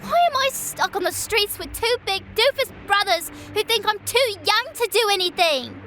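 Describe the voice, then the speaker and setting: A very high, childlike voice of a young orphan girl played by a woman in an audio drama. She is earnest and stubborn, with feeling close to the surface. Music plays clearly behind her. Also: expressive, moderate pace. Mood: hurt, frustrated. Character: young orphan girl, wistful, stubborn, fiery little sister.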